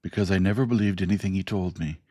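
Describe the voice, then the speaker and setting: A man voicing a defensive earnest young man, quick, deep, gravelly. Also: warm tone, no room sound, clean studio sound. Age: young adult.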